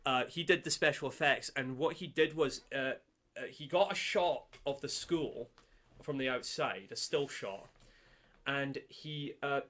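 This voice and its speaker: A man co-hosting a film podcast in casual chat, halting and rambling, with casual swearing. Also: mid-range voice, a bit bright. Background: background music.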